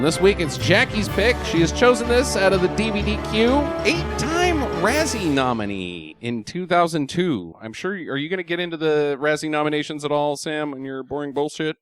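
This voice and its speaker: A man hosting a podcast in casual chat, swearing freely and reading listener reviews aloud. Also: high voice. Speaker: podcast host.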